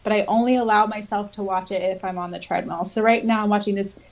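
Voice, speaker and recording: A woman's voice: mid-range voice, fairly even intonation, fast talker, a little mellow. A woman co-hosting a podcast, talking informally with giggles and reading listener comments aloud. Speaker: podcast co-host.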